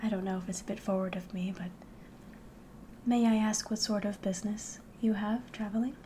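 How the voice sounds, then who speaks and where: A woman playing a friendly, curious innkeeper in an ASMR roleplay, speaking softly and politely, often slipping into a whisper. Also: medium pitch, steady tone, slight background noise, close to an everyday voice. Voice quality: soft, breathy, often whispering. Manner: gentle, unhurried, polite, hospitable. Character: friendly, curious female innkeeper of a fantasy tavern.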